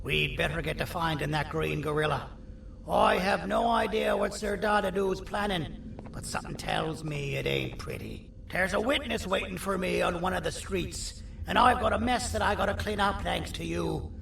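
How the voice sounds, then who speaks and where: High gravelly creature surgeon. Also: male voice, moderate pace, fairly bright tone, exaggerated voice. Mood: brisk, bossy. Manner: wry, theatrical, self-important. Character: four-armed creature surgeon, vain, sardonic, bossy schemer. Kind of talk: video game character dialogue. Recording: roomy echo, distant, faint noise.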